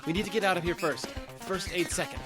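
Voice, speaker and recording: A man's voice: sits high, fairly even intonation, fast, music in the background, lightly acted. A man playing a stubborn, evasive wounded fugitive in an audio drama, speaking in a defensive way. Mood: insistent.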